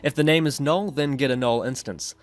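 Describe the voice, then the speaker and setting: A man narrating an instructional screencast as the host of a software tutorial. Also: medium pitch, a little fast, soft background music.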